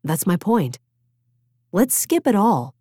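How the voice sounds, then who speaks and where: A woman's voice: medium pitch, big pitch swings, slow pace, warm tone, breathy edge, no room sound, studio quality, heavily acted. A woman voicing a restless, wistful teenage boy in an audiobook, with casual, half-joking pleading. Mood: pleading, eager. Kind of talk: audiobook character dialogue.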